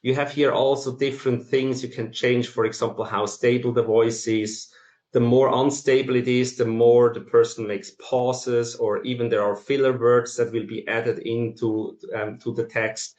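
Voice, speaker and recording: A man with a Swiss accent giving an explanatory presentation in a halting way, with frequent ums. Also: mid-range voice, flat delivery, darkish tone. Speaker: masterclass presenter. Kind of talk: explanatory conference presentation for non-technical listeners. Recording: roomy, echoing sound.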